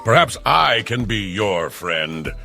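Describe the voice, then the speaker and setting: A man's voice: lowish voice, sing-song, slow, creaky, music in the background, exaggerated voice. A man voicing a sly rogue in a fantasy video game, offering friendship with an insinuating manner. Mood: sly, measured. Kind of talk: video game character dialogue.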